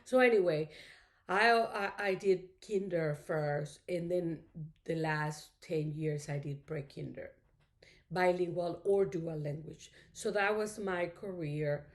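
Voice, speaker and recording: A woman's voice: lowish voice, slow pace. A middle-aged woman whose first language is Spanish, chatting casually to her viewers in a rambling, thankful way full of "you know"s. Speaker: craft vlogger. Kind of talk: casual chat to camera.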